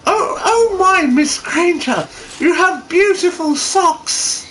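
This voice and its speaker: High-pitched, fawning little house elf, put on by a reader. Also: lively intonation, takes it slowly, a bit bright, exaggerated voice. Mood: awed. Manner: speaks of himself in the third person. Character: small, eager male house elf, servile and fawning. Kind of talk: amateur dramatic fan fiction reading.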